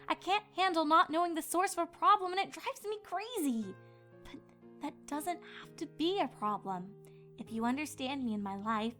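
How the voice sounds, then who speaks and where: A woman's voice: high-pitched, fairly bright tone. A woman voicing an earnest, easily flustered young female pony princess in a fan fiction audio drama.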